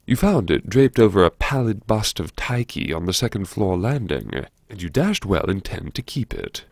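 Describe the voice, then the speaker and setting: A man's voice: sits low, gravelly, no room sound, studio quality, heavily acted. A man playing a wry, erudite, self-mocking university head who muses to himself in old-fashioned, droll phrases, as audiobook narration. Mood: wry musing. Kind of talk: audiobook narration as inner monologue.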